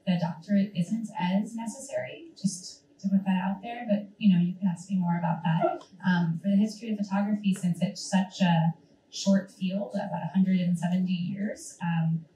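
A woman speaking as a museum curator at a college career talk, just getting over a cold so her voice cracks a little, talking in a relaxed way with many ums. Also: mid-range voice, moderate pace, a little hiss or hum. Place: college lecture room with audience. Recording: echoey room, distant mic.

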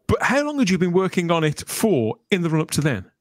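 A man's voice: sits high, very animated, brisk, dry recording, studio quality. A man hosting a podcast, interviewing his guests in a conversational style. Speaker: podcast host. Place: remote online video call.

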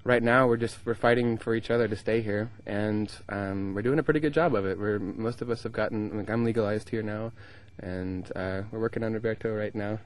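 A young American man in a band answers questions in a low voice. He hesitates a lot, restarting his sentences and filling the gaps with ums. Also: steady tone, brisk, few pauses, rough recording. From United States. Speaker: band member. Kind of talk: casual interview. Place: indoor festival lounge.

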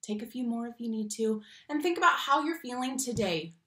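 Young woman, children's read-aloud host. Bright, expressive delivery with emphatic key words, roomy home recording. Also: on the high side. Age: young adult. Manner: animated, slow emphatic phrasing on key words, addresses children directly. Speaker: read-aloud teacher and storyteller. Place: small room at home, indoors. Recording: roomy home webcam sound.

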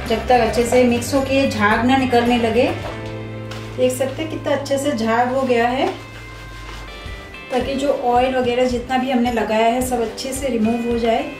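Young woman gently explaining a hair routine. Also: sits high, distant mic. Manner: calm, instructive, steady. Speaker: beauty vlogger. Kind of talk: step-by-step tutorial. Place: small room indoors.

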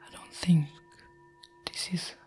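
A woman with a French accent guiding listeners through a soothing ASMR affirmation, leaving long pauses between short phrases. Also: a little mellow, soft background music. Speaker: meditation and ASMR guide. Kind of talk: whispered ASMR guided affirmation.

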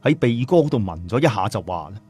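Husky male storyteller, lively pitch. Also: fairly quick, dry recording, soft background music. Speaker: audiobook narrator. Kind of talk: dramatic storytelling narration.

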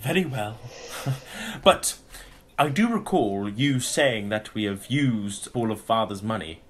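A man playing a pompous, excitable, dim upper-class British brother in a comic audio drama, with a stammering, fussy delivery. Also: on the low side, takes it slowly, slight background noise.

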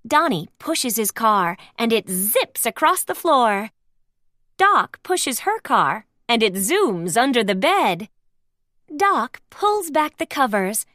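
Slow, high-voiced woman reading a storybook. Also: big pitch swings, pushed and bright, no echo, clean studio sound. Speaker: storybook narrator. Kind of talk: scripted read-aloud narration for young children.

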